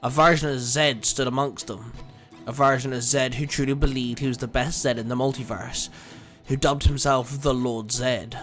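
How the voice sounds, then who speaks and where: A man's voice: mid-range voice, steady tone, normal speed, a bit bright, faint music behind. A man reading scripted fan fiction as the narrator of an action story.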